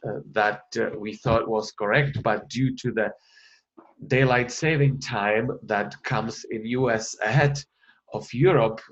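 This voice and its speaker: Guy on a webinar call, halting, lots of uhs, kinda dull lo-fi sound. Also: medium pitch, steady tone, fairly bright tone. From Czech Republic. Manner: hesitant, many fillers and restarts. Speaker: researcher presenting a webinar. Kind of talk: online webinar presentation.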